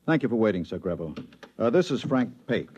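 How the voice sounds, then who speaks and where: A man playing a steady bureau chief giving a briefing, in 1950s radio drama style. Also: lowish voice, quick pace, a little hiss or hum. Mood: matter-of-fact. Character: steady male bureau chief briefing his trusted investigator. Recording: muffled vintage 1950s radio recording.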